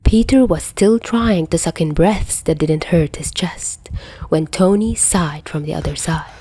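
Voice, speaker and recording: A woman narrates a scripted story. Her voice is mellow and a little low, and the recording is close and dry. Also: measured pace, husky, dry recording. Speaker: podfic narrator.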